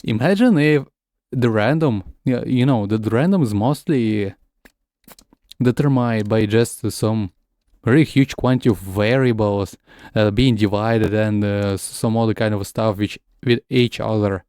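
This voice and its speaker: A man's voice: fairly low pitch, measured pace, darkish tone, no room sound, clean studio sound. Stammering man chatting on stream. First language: Russian. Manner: stammers, repeats words; switches between English and Russian. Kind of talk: casual gaming stream chat.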